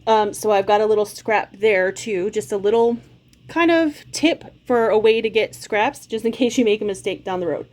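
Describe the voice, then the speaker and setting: A woman's voice: mid-range voice, normal speed, a little mellow. Friendly woman teaching quilt cutting. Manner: friendly, chatty, step-by-step explaining. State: slight cold, occasional cough. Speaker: quilting channel host. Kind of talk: casual sewing tutorial. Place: home sewing room, indoors.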